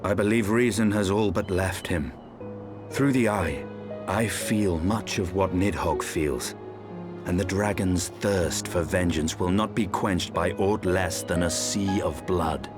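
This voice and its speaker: An actor playing a grave, world-weary noble knight in a fantasy video game, with formal, archaic speech. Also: male voice, sits low, measured pace, over music. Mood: grave, resolute.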